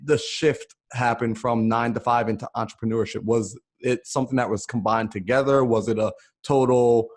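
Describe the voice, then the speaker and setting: A man's voice: mid-range voice, steady tone, normal speed. Young male host on a video call, earnest and talkative, desk mic. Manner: earnest, long flowing questions. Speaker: podcast host. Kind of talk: interview questions. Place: home office, indoors. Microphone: desk microphone on video call.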